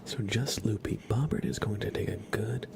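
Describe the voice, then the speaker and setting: A man hosting an ASMR livestream, speaking in a breathy whisper and soft murmur, soothingly repeating words to viewers at a close microphone. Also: lowish voice, normal speed, background noise. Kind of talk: ASMR, slow whispered roleplay and chat to viewers.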